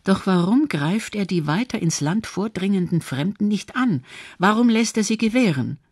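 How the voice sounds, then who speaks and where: A woman's voice: mid-range voice, animated, moderate pace, darkish tone, breathy edge, dry recording. Dry studio documentary narrator, a woman.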